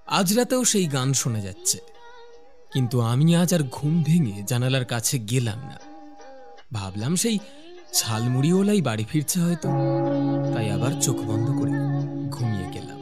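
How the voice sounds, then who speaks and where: A man's voice: mid-range voice, sing-song, moderate pace, long pauses, over loud music, close to an everyday voice. A man voicing a curious young office worker who narrates his own ghost story in a cartoon, with acted dialogue. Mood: storytelling. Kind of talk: scripted first-person narration with acted dialogue.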